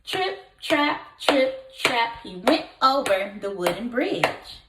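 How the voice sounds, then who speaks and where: A woman teacher reading a story aloud to young children in an expressive, deliberate way, prompting them with questions. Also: a little high, heavy background noise. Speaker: kindergarten teacher. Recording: echoey room.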